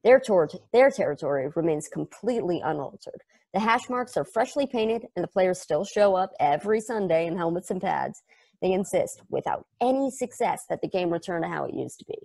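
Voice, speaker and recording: A woman's voice: lowish voice, expressive, brisk, gravelly, warm tone. A woman voicing an eager, nerdy fan who earnestly explains a strange game at a table read over video call, rambling and correcting herself. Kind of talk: table read of a stage play.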